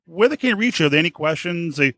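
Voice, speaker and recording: A man with an American accent hosting a casual podcast interview, halting and rephrasing as he asks questions. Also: fairly high pitch, animated, a bit bright, no echo. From United States. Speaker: podcast host.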